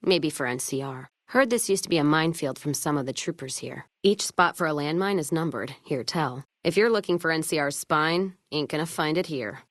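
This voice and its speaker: Game companion role: tough American wasteland woman, low register, dry sound, gruff and sardonic, foul-mouthed drinker with folksy slang. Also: fairly quick, hardly any pauses, no echo. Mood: wry, wary. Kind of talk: video game companion voice lines.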